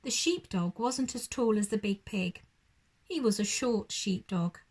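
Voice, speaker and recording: A woman's voice: medium pitch, lively intonation, unhurried, darkish tone, slightly rough. A woman reading a children's bedtime story expressively, like a primary school teacher addressing young children.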